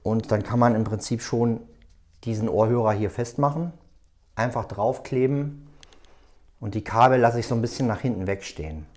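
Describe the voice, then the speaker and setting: A man hosting a hands-on tutorial, explaining step by step in a halting, unscripted way as he works. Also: mid-range voice, a little mellow. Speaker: tutorial host. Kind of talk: hands-on tutorial, talking while working.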